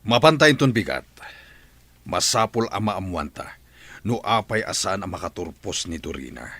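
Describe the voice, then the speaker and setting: A stern, commanding father from an audio drama, as a man acts him out, with a bright, cutting tone and pitch that rises and falls a lot. The sound is dry and close, with faint music behind him. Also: very animated, normal speed, gravelly, no echo, heavily acted. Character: stern middle-aged father, head of the house.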